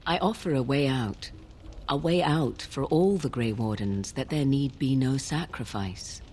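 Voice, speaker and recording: An actress playing a mysterious, guarded young sorceress in a fantasy game, speaking in a cool, formal, archaic manner. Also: female voice, very deep voice, a little slow, a little mellow, slight background noise. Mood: cryptic, measured. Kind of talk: fantasy video game dialogue.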